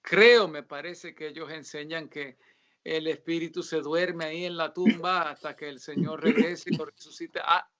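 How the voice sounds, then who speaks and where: A man, a guest author, answering religious questions in a casual interview, talking haltingly with many filler sounds and pauses. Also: fairly high pitch, steady tone, fairly bright tone, lo-fi sound. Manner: reads scripture aloud in a steadier tone.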